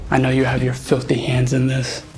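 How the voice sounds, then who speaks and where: A man's voice: mid-range voice, completely flat delivery, a little fast, gravelly, a little mellow, faint music behind, lightly acted. A man playing a haughty, blunt young bachelor duke in an audio drama, curt, sarcastic and contemptuous. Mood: impatient, threatening.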